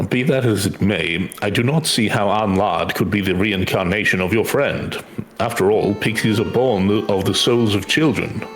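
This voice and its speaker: A somewhat low voice of a man in character delivers video game dialogue as a thoughtful scholar. The manner is formal, measured and probing, with clear background music.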